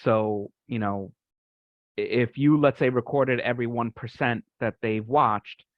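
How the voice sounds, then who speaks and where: A man's voice: mid-range voice, a little slow, dry recording. A man casually explaining something technical on a group call, with a polite, tentative manner. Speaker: participant in a software community call. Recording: dull, band-limited online call audio.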